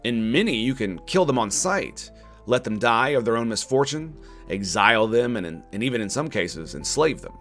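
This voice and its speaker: A man's voice: medium pitch, sing-song, moderate pace, a bit bright. A man narrating a scripted video game critique in an opinionated, sardonic manner. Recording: music under the voice. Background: background music.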